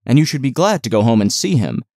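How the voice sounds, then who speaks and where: A kindly, coaxing servant woman in an audiobook, read by a man in a mid-range voice. The delivery is soothing and explanatory, with animated intonation. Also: mid-range voice, fast, no room sound, clean studio sound. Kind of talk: audiobook character dialogue.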